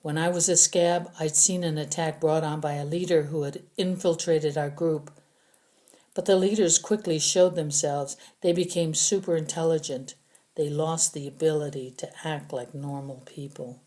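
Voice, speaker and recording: A woman's voice: low-pitched, fairly even intonation, normal speed, mellow. A woman reading a young adult novel as a first-person audiobook narrator.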